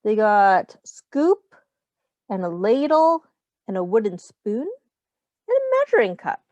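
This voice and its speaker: A woman's voice: medium pitch, huge pitch swings, slow, a little mellow, dry recording, highs cut off. A woman teacher reading a picture book aloud to young children, explaining simple words and asking them questions as she goes. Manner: instructive, pointing out rhymes and addressing young children directly.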